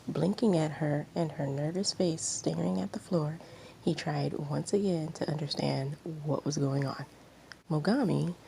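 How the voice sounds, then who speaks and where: A woman reading fan fiction aloud as expressive narration, with faint background noise. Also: low voice, gravelly, rough recording.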